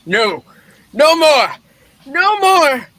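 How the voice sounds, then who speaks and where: Man acting a melodramatic old miser, high-pitched, slow, defensive, stage-play reading. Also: big pitch swings, sharp tone, no echo, heavily acted. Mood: anguished pleading. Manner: melodramatic, exclamatory. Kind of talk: theatrical amateur play reading.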